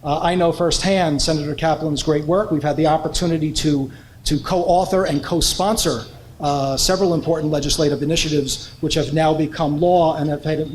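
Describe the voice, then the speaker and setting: A man's voice: a little high, a little hiss or hum. A man introducing honorees at an awards evening, reading prepared biographies in a formal way with frequent "uh" fillers.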